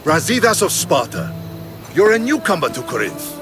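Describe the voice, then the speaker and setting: A man playing a principled, measured Spartan soldier in dramatic video game dialogue. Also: high-pitched, big pitch swings, vocal fry, over music, heavily acted.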